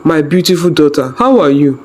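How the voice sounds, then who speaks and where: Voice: medium pitch, expressive, brisk, slight background noise, close to an everyday voice. A storyteller voicing a loving father who speaks soothingly in a folktale. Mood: joyful. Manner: soothing, repeating reassurances. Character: loving, gentle father comforting his grown daughter.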